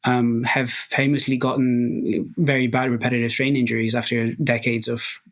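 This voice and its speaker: A man's voice: mid-range voice, flat delivery, moderate pace. Greek man explaining, full of restarts. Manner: thoughtful, many fillers and restarts. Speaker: guest researcher and musician. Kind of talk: technical podcast interview.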